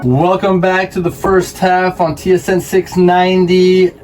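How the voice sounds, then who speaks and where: A man hosting a sports radio show, interviewing a guest in the studio and reacting quickly. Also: high voice, little pitch movement, a little mellow, faint music behind.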